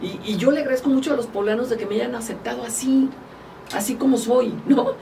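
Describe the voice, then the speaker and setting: A woman's voice: on the high side, fast talker, creaky, warm tone, recorded from a distance, a little hiss or hum. A woman from Mexico, a guest politician, chatting casually and animatedly in an interview, often laughing as she tells stories. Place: small studio, indoors. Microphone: table mic, far from speaker.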